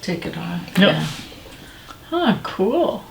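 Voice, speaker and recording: A woman chats casually in an unhurried, creaky voice, sounding curious. She is in an echoey room with clear background noise. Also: lowish voice, sing-song. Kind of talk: casual chat.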